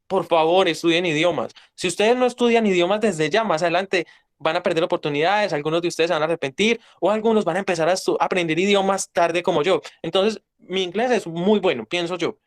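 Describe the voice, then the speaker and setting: A man's voice: sits high, a little fast. A young Colombian man with a Paisa accent, speaking as an interview guest in an emphatic, blunt, lecturing way. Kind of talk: casual interview talk.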